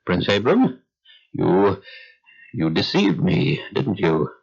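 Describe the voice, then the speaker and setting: Theatrical, imperious king, animated delivery. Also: low voice, takes it slowly, vocal fry, breathy edge, full character voice. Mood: wounded, reproachful. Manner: theatrical, stammering when flustered. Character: imperious, easily flustered Egyptian king, indignant and wounded. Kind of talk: dramatized Bible audio play. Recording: dull lo-fi radio drama audio.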